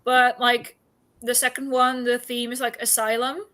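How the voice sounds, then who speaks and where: Female stream co-host, bright and slightly high, informal, self-deprecating chat. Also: little pitch movement, measured pace. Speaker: co-host of reading livestream. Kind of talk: casual chat.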